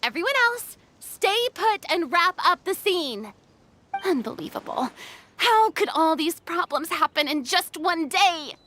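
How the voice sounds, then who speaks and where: A woman playing a theatrical, high-spirited young film director in a video game, dramatic and commanding. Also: lively intonation, measured pace, bright, forceful tone, slight background noise, heavily acted. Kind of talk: animated video game voice acting.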